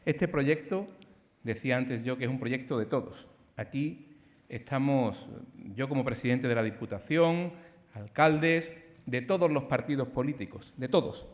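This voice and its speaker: A man's voice: medium pitch, normal speed. Earnest man giving an acceptance speech. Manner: earnest, emphatic with fillers. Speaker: provincial council president accepting an award. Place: large theatre stage. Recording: long hall reverb from a theatre stage.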